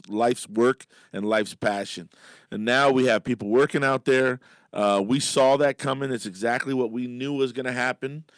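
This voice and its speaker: A man's voice: mid-range voice, dry recording. A middle-aged man from Miami, a guest on a radio show, talking emphatically with many fillers and restarts. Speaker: radio guest, business leader. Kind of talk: casual radio interview. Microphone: studio microphone.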